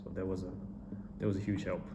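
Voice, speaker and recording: A young man from Korea giving measured, steady interview answers. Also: deep voice. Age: young adult. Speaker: interviewed student. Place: indoor studio room with a cartoon backdrop. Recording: echoey room with noisy background.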